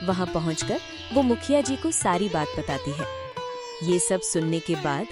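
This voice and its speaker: A woman narrating a cartoon moral story in a measured storytelling manner. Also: lowish voice, sing-song, over music.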